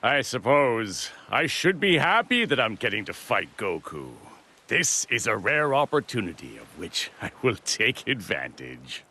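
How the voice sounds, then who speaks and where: A man's voice: fairly low pitch, big pitch swings, unhurried, exaggerated voice. A man voicing an arrogant, menacing monster villain in a video game cutscene, mocking and drawling. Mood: smug, mocking. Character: smug, menacing male monster villain relishing battle. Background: loud music.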